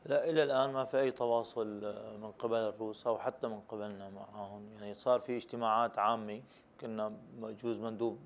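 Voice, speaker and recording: Fast, steady-voiced man answering questions. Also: on the low side, muffled recording. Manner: measured, with hesitant fillers. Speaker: rescue group head answering questions. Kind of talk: formal answers at a public panel.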